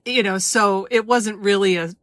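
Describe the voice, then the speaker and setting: A woman's voice: medium pitch, fairly even intonation, normal speed, a bit bright, dry recording, clean studio sound. A woman hosting an interview in casual, informal and exclamatory conversation. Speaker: interview host.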